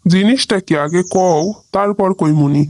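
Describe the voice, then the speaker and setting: A man's voice: high-pitched, a little slow, darkish tone, no echo. Man playing an exasperated husband, closely dry-recorded. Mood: puzzled, reasoning. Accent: Bangladeshi. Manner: protesting, exasperated. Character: exasperated, reasoning village husband. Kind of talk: comic cartoon dialogue.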